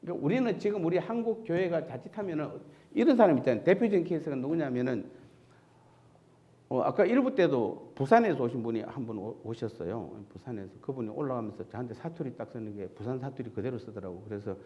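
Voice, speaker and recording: A man's voice: medium pitch, animated, quick pace, warm tone, muffled recording. A man from Seoul, a pastor giving a conversational sermon in a church sanctuary, asking the congregation questions and answering them himself.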